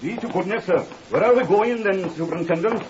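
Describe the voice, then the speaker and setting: An actor playing an anxious, grieving and polite man with a formal, halting, questioning way of talking in a 1950s radio drama. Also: sits high, close to an everyday voice. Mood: puzzled. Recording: muffled, hissy 1950s radio recording.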